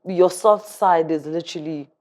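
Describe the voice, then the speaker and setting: A woman's voice: on the low side, moderate pace, a little mellow. A woman talking earnestly and emphatically in a casual chat, often repeating words for stress.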